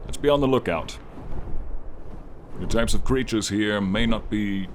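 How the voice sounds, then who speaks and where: A man voicing a friendly, protective silver dragon in a scripted fantasy ASMR role play, speaking slowly with formal, tender address to the listener. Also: on the low side, lightly acted. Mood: tender, reassuring. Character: friendly silver dragon, male, gentle protective old guardian. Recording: clear background ambience noise, light rain effect. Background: light rain and flying ambience.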